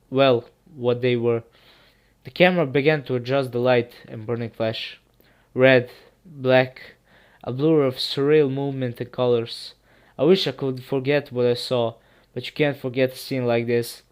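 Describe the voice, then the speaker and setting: Male horror narrator, sick, clean rounded tone, steady and earnest. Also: mid-range voice, clean tone. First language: Serbian. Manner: earnest, steady reading with small restarts. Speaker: YouTube narrator. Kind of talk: first-person horror story narration.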